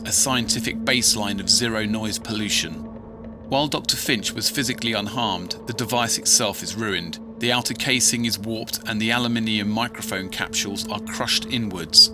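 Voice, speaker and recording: A man playing a grave investigator, reading an evidence log in a formal, measured documentary style. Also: on the low side, lightly acted. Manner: formal, measured, matter-of-fact. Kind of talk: scripted documentary narration.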